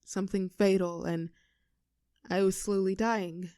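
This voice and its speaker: A woman's voice: mid-range voice, steady tone, mellow, no echo, clean studio sound, natural voice. A woman playing an affectionate, teasing girlfriend with a vulnerable streak in an intimate roleplay audio drama. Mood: hesitant, serious. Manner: teasing, halting when earnest.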